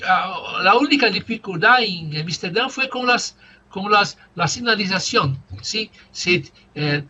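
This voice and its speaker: Portuguese-speaking guy, slow and hesitant, lots of ums, bright voice. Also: sits high, lively intonation, highs cut off, slight background noise. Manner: halting, searching for words, many fillers. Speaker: language student. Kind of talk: casual conversation practice.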